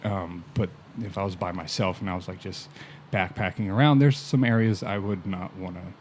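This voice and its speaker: A man's voice: low-pitched, normal speed, darkish tone, a little hiss or hum. A man chatting casually on a podcast, telling laid-back stories, swearing now and then and chuckling often. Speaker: podcast guest. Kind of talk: casual chat. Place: podcast recording in Canada.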